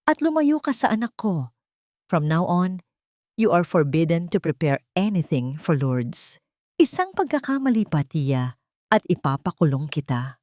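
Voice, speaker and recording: A woman voicing an angry, wealthy middle-aged man who shouts cold commands. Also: fairly low pitch, big pitch swings, measured pace, dry recording, studio quality. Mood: cold commanding. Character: harsh rich middle-aged father, furious.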